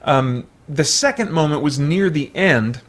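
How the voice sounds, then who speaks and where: A man giving a casual, unscripted movie review, thinking out loud in a rambling, hesitant way with repeated false starts. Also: fairly high pitch, animated, unhurried. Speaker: movie reviewer, video host.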